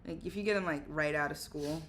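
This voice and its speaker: Woman, slightly low voice, laid-back casual chat, opinionated, livestream co-host, faint background noise. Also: quick pace.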